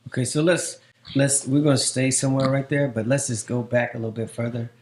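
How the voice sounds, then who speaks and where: A man's voice: medium pitch, steady tone, brisk, a little mellow. A man hosting a casual podcast interview, with enthusiastic, emphatic introductions. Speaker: podcast host.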